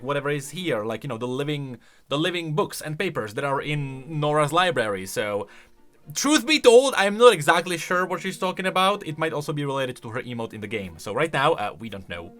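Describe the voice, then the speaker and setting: Male host for casual gaming commentary, bright forceful tone, lively swings, thinks out loud emphatically. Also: fairly high pitch. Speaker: video creator and host. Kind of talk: casual game lore commentary.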